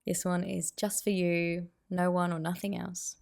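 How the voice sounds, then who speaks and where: A woman guiding a meditation as a teacher, giving soothing, unhurried instructions with pauses. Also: on the low side, flat delivery, gravelly, darkish tone. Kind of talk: guided meditation.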